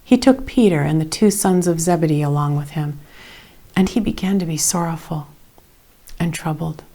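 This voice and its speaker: A woman reading a scripted Bible story in a measured, dramatic way with expressive emphasis. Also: sits low. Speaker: reader of scripture.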